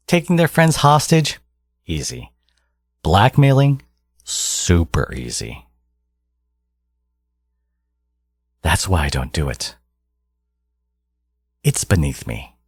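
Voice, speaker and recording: A man playing a theatrical, possessive supervillain in a scripted audio roleplay, scornful and grandiose toward his archnemesis, with a husky voice. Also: low-pitched, no room sound, clean studio sound, exaggerated voice. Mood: grandiose, contemptuous. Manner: grandiose, exasperated, dramatic emphatic delivery.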